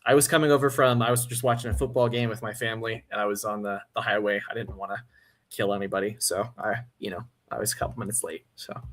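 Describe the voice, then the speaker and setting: A man hosting a casual film livestream, talking in a halting, laid-back way full of restarts. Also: lowish voice, fairly even intonation, a bit bright. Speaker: podcast host.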